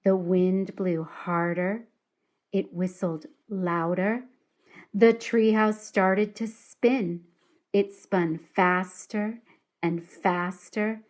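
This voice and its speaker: A woman reading a children's story aloud in an expressive, deliberate and dramatic storytelling style. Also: medium pitch.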